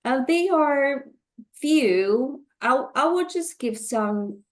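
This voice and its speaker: Slow, halting female coach. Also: high voice, expressive. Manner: slow and halting, with fillers and laughs. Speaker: money mindset coach. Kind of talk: guest interview. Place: Vancouver, Canada.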